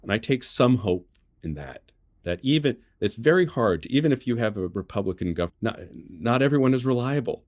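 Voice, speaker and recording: An American man giving political commentary as an interview guest, measured and halting as he restarts phrases to qualify his points. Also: medium pitch, animated, no echo, clean studio sound. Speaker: interview guest, journalist and author.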